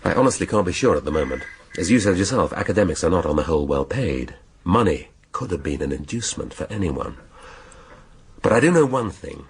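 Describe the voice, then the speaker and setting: An actor playing a shrewd British police inspector in a radio drama, questioning in a measured, drily needling way. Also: male voice, low-pitched, expressive, husky, exaggerated voice. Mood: firm, explaining.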